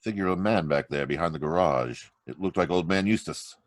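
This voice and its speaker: A man's voice: low-pitched, fairly quick, no room sound, studio quality, close to an everyday voice. A man playing a confident hero detective with a secret identity in an old-time radio drama read over a video call. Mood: determined.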